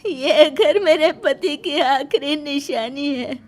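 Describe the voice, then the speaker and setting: A frail old grandmother played by a woman in a family storybook tale. Her voice is high and slow, tearful and pleading, with a gentle, imploring way about her. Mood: tearful begging.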